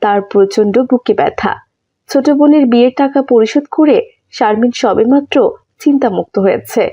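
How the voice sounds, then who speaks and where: A woman narrator reads a scripted story in a mellow, warm tone. The audio is slightly dull and lo-fi. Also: a little high, creaky, breathy edge.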